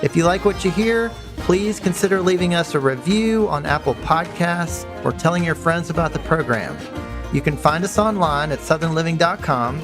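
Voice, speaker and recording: A man hosting a podcast, asking questions politely and reading the show's credits at a steady pace. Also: on the high side. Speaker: podcast host and magazine editor. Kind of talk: interview and scripted outro.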